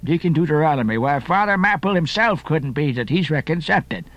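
A man voicing a gruff, blustery old sea captain in an audiobook, hearty and exclamatory. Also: a little high, animated, a little fast, a bit bright, no echo, muffled recording. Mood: excited, hearty.

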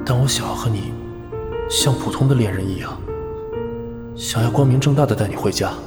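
Man acting sincere hesitant young lover, soft voice, long reverb, music behind, audio drama. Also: medium pitch, brisk, natural voice. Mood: tender, earnest.

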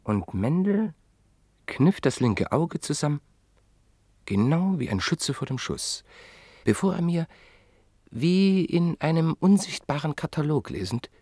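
A man narrating a radio play in a measured, literary storytelling manner. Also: medium pitch, creaky, darkish tone, no echo.

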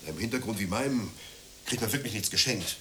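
A man's voice: fairly low pitch, a little fast, a bit bright, slight background noise, natural voice. An actor playing a bitter, jealous working-class man in a radio play, scornful and ranting with emphatic delivery. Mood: aggrieved, reproachful. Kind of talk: naturalistic radio play dialogue.

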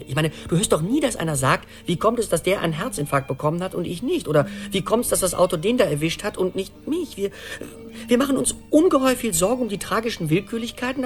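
An actor in a radio play voicing an anxious middle-aged man who tells his story in an urgent, animated, rambling conversational monologue. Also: sits high, fast talker, soft background music. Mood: earnest, urgent. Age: 40s.

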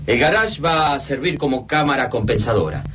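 An actor playing a grave, practical scientist and loyal friend in a radio drama, with a Rioplatense Argentine accent. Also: male voice, mid-range voice, lively intonation, moderate pace, a bit bright, slight background noise, lightly acted. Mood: practical, urgent. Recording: dramatic music under the voice.

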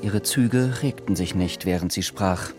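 A man's voice: sits low, unhurried. A man reading scripted literary narration for a radio drama. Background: music.

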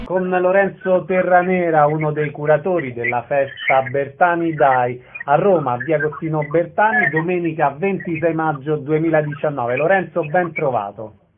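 A man's voice: fairly high pitch, normal speed, phone-quality sound, over music. A man working as a radio interviewer, announcing details in an orderly, formal way and putting questions to guests. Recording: thin telephone-band sound.

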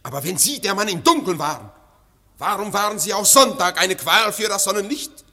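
A bitter, high, accusing rebel. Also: male voice, expressive, brisk, sharp tone, exaggerated voice. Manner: impassioned, accusing, half-sung. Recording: long hall reverb.

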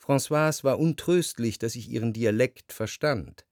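An actor playing a reflective, possessive, melancholy gentleman who narrates a literary radio drama in a measured, articulate, introspective way. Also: mid-range voice, no room sound, clean studio sound, lightly acted. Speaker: first-person narrator. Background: music.

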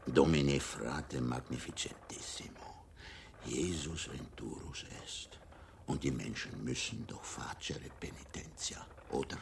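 An actor playing a simple-minded, servile monk in a radio drama, babbling haltingly in jumbled tongues with a gravelly voice. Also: male voice, rumbling low, in a whisper, exaggerated voice. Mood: fearful, fawning. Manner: babbling mix of languages, halting.

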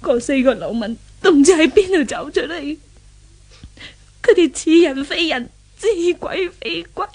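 An actress with a Hong Kong Cantonese accent playing a proud young gangster's daughter held captive, haughty and tearful in a 1970s radio drama. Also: female voice, high-pitched, expressive, measured pace. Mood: despairing.

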